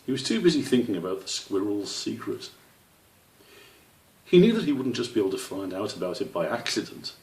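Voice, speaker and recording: A man's voice: on the low side, very animated, a little fast, vocal fry, a little mellow. A man reading a children's story aloud as its narrator. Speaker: author reading own story. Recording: roomy, slightly distant microphone.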